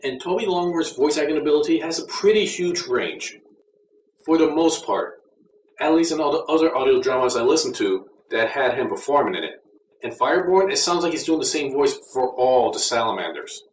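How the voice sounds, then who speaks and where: A man's voice: a little high, expressive, a little fast. A man giving a casual, conversational and mildly critical review as an audio drama reviewer. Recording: roomy echo, distant mic, faint noise.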